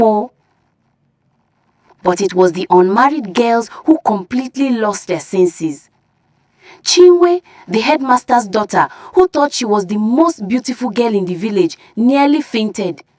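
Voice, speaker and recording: A woman storyteller reads a scripted folktale. Her voice sits a little high. The recording is dry and close, with no room sound. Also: no echo.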